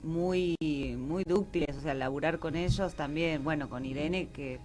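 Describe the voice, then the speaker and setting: A woman's voice: low voice, unhurried, a little mellow, slight background noise. A woman with an Argentine Rioplatense accent giving a casual interview, informal and agreeable, with hesitant phrasing. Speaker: theatre director, interview guest. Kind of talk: casual radio interview chat.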